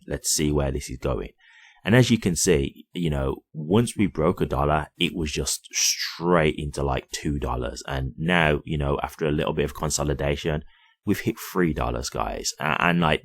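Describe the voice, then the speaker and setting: A man's voice: rumbling low, no echo, studio quality. A man giving casual, unscripted commentary straight to his viewers, conversational and often checking in with a questioning tag. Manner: conversational, repeatedly checks in with "right?". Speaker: crypto YouTuber.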